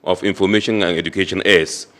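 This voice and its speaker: A male interview host, asking measured, probing questions and pushing back on his guest. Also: deep voice, steady tone, a bit bright. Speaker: show host. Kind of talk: studio interview.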